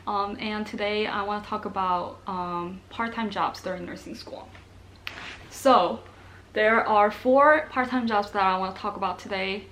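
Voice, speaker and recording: A woman's voice: medium pitch, a bit bright. A young woman from Korea, chatting casually to camera as a nursing student vlogger, with plenty of ums and likes. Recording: faint background noise.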